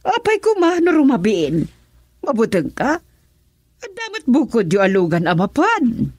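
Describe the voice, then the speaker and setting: Husky, animated Filipino mother. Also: fairly high pitch, measured pace, no room sound, heavily acted. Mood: coaxing, insistent. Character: middle-aged mother, coaxing and bossy with her son. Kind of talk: radio drama acting.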